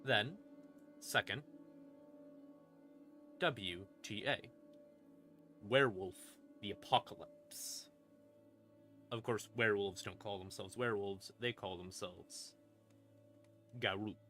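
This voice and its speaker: Bright-voiced man, irreverent lore explainer. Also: on the low side, takes it slowly, long pauses. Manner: irreverent, sweary, rambling. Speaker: streamer explaining tabletop game lore. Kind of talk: casual, comedic lore explainer. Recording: music playing in the background. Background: creepy ambient music.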